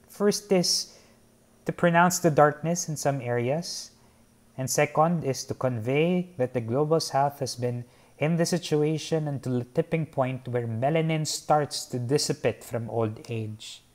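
A man's voice: mid-range voice, darkish tone. Young guy from the philippines explaining his painting, earnest and formal, sitting out on a patio. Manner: measured, earnest, formal wording. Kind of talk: reflective artist talk. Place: covered patio by a garden, outdoors.

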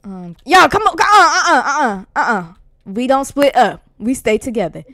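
A woman's voice: sits high, very animated, normal speed, sharp tone, no room sound, heavily acted. A woman playing a jumpy, bossy young woman in an improvised horror-comedy roleplay, stammering and repeating her words with sass. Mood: wary, bossy.

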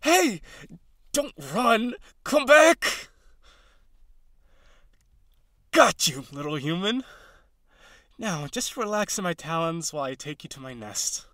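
A man performs an eager, nervous male harpy for an audio roleplay. His voice sits a little high for a male and moves through lively swings of pitch. He is awkward, gentle and excitable, talking directly to the listener. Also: unhurried, dry recording, studio quality, full character voice. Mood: excited, urgent. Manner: hesitant, gentle, excitable, dramatic.

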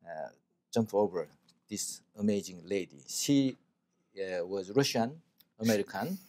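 A middle-aged man with a Korean accent hosting a studio interview, speaking haltingly with many fillers. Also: on the low side, animated, a little mellow. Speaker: host. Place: TV studio set.

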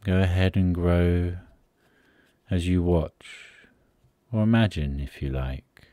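A man leading a guided sleep meditation in a soothing, unhurried manner, pausing between short phrases. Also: deep voice, dark tone, no room sound.

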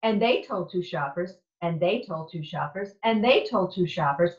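Woman, storybook read-aloud, playful, lively intonation, echoey room, slightly muffled lo-fi recording. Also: fairly low pitch. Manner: animated, deliberate, playful. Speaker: storybook reader. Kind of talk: expressive children's picture book read-aloud. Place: indoor room at home. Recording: echoey room, dull lo-fi sound.

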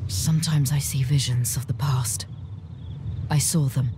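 An actress playing a solemn, guarded seer in a dark fantasy game, grave, measured and understated. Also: female voice, a bass voice, fairly even intonation, background noise.

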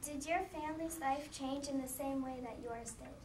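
A woman's voice: sits high, moderate pace, a little mellow. A woman interviewer politely asking prepared questions in a classroom. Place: small classroom, indoors. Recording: roomy echo, distant mic, background noise.

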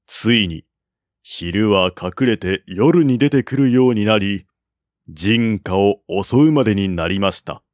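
A man reading a historical tale as a narrator, in a measured, formal storytelling manner. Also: fairly low pitch, dry recording, studio quality. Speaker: narrator, audiobook-style reader. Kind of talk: scripted narration of a historical Chinese novel.

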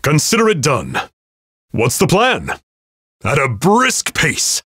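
Brash warrior lord, wildly dynamic. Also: male voice, fairly high pitch, wildly swooping pitch, slow, bright, forceful tone, slightly rough, no echo, clean studio sound, full character voice. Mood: cocky, easygoing. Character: hearty, cocky young armored warrior lord, brash and friendly. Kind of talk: English video game character voice clips.

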